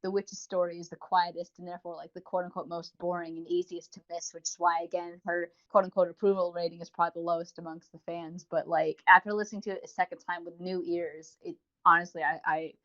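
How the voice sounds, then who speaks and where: Fast-talking woman, dull lo-fi audio. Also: on the low side, little pitch movement, a bit bright. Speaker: podcast co-host. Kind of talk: casual review chat.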